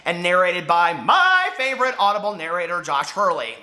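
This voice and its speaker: Chatty guy vlogging about books, bright forward voice, excitable, rambles with lots of likes and ums. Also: high voice, normal speed. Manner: gushing, digressive, peppered with fillers. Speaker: book vlogger. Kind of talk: casual chatty vlog to camera.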